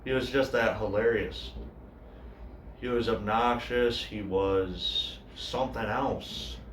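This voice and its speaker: A man playing a grieving cook in a dramatic monologue, speaking quietly and hesitantly, as if confessing. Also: lowish voice, steady tone, slow pace, recorded from a distance. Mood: fond. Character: grieving male cook, subdued, haunted by his brother. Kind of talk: dramatic monologue, naturalistic screen-style acting. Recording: echoey room, faint noise.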